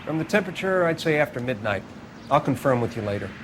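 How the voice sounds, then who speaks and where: Crime-scene coroner, male actor, mid-pitched, detached and clinical, game dialogue with clear ambient noise. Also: animated, lightly acted. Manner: measured, clinical. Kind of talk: cinematic video game acting.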